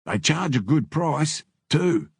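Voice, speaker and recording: A man voicing a matey, blunt male biker in an audiobook, talking in rough slang. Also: fairly high pitch, very animated, slow, no echo, studio quality, voice pushed up, heavily acted. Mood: businesslike. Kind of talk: audiobook character dialogue.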